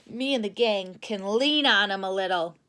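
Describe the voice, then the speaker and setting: A woman's voice: mid-range voice, moderate pace, bright, forceful tone, clean tone, natural voice. A woman voicing a cocky, tough boy bear cub who leads a storybook gang, speaking with emphatic swagger.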